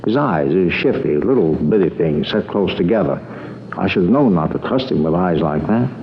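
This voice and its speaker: Fretful robbery victim, muffled old radio. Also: male voice, deep voice, animated, moderate pace, slight background noise. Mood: bitter, indignant. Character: shaken, indignant male robbed diamond dealer. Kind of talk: 1950s radio crime drama acting.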